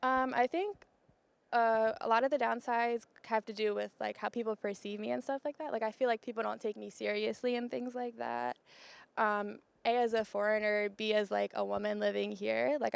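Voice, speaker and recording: Young American female interviewee, heavy vocal fry, informal delivery full of "like". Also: mid-range voice. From United States. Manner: informal, peppers speech with "like". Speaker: interviewee, working model. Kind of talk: casual street-interview answers.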